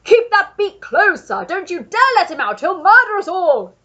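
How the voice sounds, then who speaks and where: A woman's voice: very high-pitched, animated, normal speed, bright, forceful tone, muffled recording, pitched above the performer's own voice, full character voice. A woman voicing a blustering, pompous old male aristocrat who bellows his orders. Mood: frantic.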